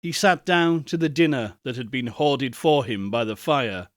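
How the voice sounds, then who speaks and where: A man narrating a Victorian ghost story in a solemn, deliberate and theatrical way. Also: mid-range voice, no room sound, studio quality. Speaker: audiobook narrator, reader.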